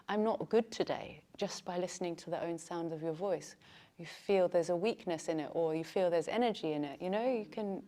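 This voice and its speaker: A woman's voice: fairly low pitch, warm tone. A woman teaching yoga teacher trainees, talking in a chatty way and laughing often. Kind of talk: teaching a class.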